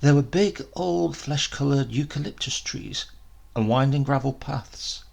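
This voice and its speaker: A man reading a literary story aloud in an expressive, measured, dramatic storytelling manner. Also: mid-range voice, vocal fry. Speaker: story reader.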